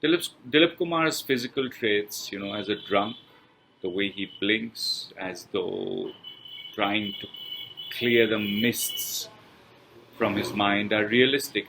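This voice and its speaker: A man with an Indian accent reading a film review aloud from a script, phrasing it deliberately in short pieces with pauses. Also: fairly low pitch, pushed and bright, a little hiss or hum, poor audio.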